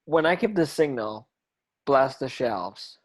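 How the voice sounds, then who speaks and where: A man's voice: medium pitch, animated, slow. A man playing a defiant teenage boy wizard in an amateur table read, casual and cocky. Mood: urgent.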